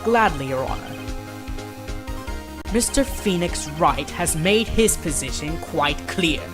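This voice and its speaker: A man's voice: on the high side, lively intonation. Man acting a haughty, formal prosecutor over music. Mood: confident, arguing. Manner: formal, deliberate, condescending. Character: composed, haughty male prosecutor, condescending and exacting. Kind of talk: hobby fandub of a courtroom game.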